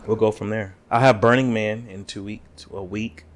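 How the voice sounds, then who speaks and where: A man co-hosting a podcast, chatting casually with friends and teasing them deadpan. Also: on the low side, normal speed. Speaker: podcast co-host. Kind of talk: casual chat.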